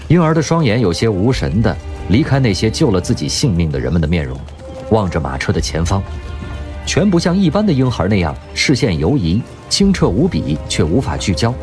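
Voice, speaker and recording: A man narrating an audio drama in a scripted, measured literary storytelling style. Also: on the low side, darkish tone.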